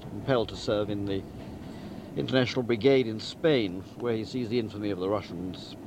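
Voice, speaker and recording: A man giving a deliberate, emphatic and articulate spoken summary of a story, like a novelist outlining a book. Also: lowish voice, background noise. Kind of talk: spoken plot summary, literary talk.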